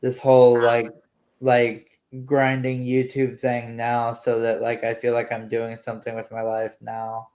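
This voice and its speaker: Brief: man, late 20s, flat slow delivery, hesitant co-host who trails off with fillers. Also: medium pitch, poor audio. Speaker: livestream co-host. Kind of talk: casual livestream chat.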